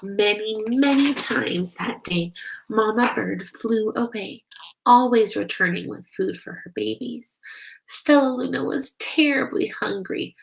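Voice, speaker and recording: A woman reading a children's picture book aloud, expressive and slow, stopping to ask the listeners questions. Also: on the high side, vocal fry, poor audio. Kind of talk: children's read-aloud narration.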